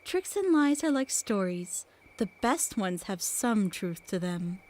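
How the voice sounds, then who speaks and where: A woman playing a mysterious storyteller who tells eerie tales to children in a theatrical, hushed, suspenseful way in an audio play. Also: medium pitch, sing-song, takes it slowly. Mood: musing, mysterious.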